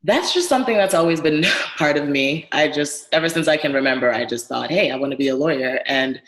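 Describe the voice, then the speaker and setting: A young woman from Ghana, a guest business owner telling her story in a relaxed, friendly way, laughing easily. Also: low voice, brisk. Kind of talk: casual interview conversation. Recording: echoey room sound.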